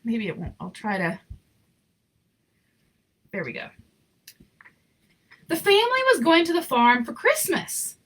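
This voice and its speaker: A woman reading a children's picture book aloud in an expressive, informal way with chatty asides. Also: fairly high pitch, a little slow, pauses often, husky, rough recording. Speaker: story reader.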